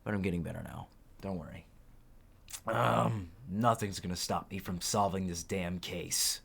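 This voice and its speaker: A raspy, hoarse young man casually commenting on a game playthrough, with lots of ums and halting restarts. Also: low-pitched, fairly bright tone. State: hoarse from illness. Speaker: let's play host. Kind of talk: game playthrough commentary, reading game text aloud.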